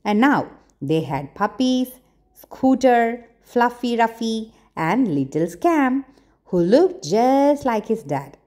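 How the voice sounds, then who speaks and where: A woman storyteller reading a children's storybook aloud, with deliberate, expressive phrasing and dramatic pauses. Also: fairly high pitch. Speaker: storyteller, channel host.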